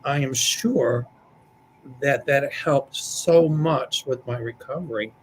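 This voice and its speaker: A man with a slightly raspy voice, chatting and telling stories with jokes as a psychic on a live show. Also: medium pitch, takes it slowly, slight background noise, poor audio. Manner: chatty, joking, storytelling. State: slightly raspy after surgery. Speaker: psychic, show guest-regular. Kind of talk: casual chat on a live stream.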